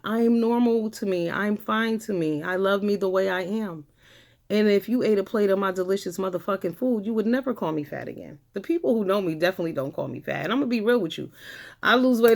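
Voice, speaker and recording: A woman vlogger ranting to camera, blunt and confrontational, swearing often and hammering points home by repeating them. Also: mid-range voice. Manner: blunt, profane, confrontational, repeats phrases for emphasis. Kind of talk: angry ranting monologue to camera.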